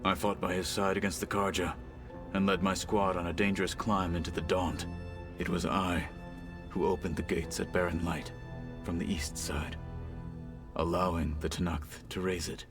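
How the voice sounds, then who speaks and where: Deep gravelly stoic warrior. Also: male voice, flat delivery, over music. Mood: solemn, guarded. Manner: formal, measured, solemn speech. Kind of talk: dramatic video game acting.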